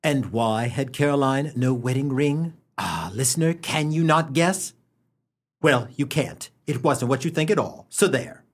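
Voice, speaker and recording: An actor as an arch, teasing narrator of a mock melodrama on radio, husky and dramatic as he addresses the listener. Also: medium pitch, unhurried, natural voice.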